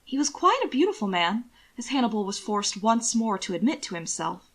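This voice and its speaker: A woman reading scripted fiction aloud as narrator, in a measured, expressive storytelling manner. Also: a little high.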